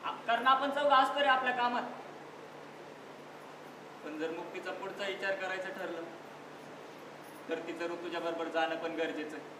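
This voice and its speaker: A man playing a humble, conciliatory village family man in a live stage play, plain-spoken, heartfelt and deliberate. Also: high voice, natural voice. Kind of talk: live one-act stage play acting. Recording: echoey distant stage recording with noise.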